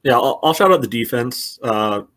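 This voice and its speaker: A man's voice: medium pitch, normal speed, fairly bright tone. A man co-hosting a sports podcast, analyzing soccer casually in a loose conversational way full of small asides.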